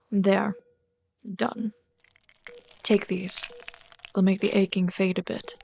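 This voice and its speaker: A woman's voice: medium pitch, vocal fry. A woman playing a bitter but caring villain queen in an ASMR roleplay, scolding and sardonic, often exasperated. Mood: bitter, concerned. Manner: scolding, sardonic, exasperated, dropping to hushed asides. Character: bitter, caring female villain rat queen tending a captive sidekick. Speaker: voice actor in an audio roleplay.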